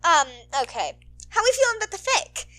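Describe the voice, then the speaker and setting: A woman's voice: extremely high pitch, very animated, brisk, bright, forceful tone. A woman podfic narrator who talks in an informal, playful way. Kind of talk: animated story narration and casual outro chat.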